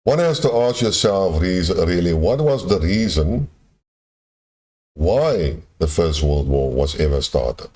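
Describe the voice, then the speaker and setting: A man with an Afrikaans accent, born in Germany and raised in South Africa, talks through a halting monologue, often restarting as he searches for words. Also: low voice, sing-song, darkish tone. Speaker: video essayist. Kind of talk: unscripted monologue.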